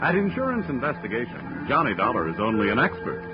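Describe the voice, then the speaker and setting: A man's voice: mid-range voice, wildly swooping pitch, fast talker. A man introducing a 1940s radio drama with a dramatic showman delivery. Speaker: show announcer. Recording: dull old radio recording with music.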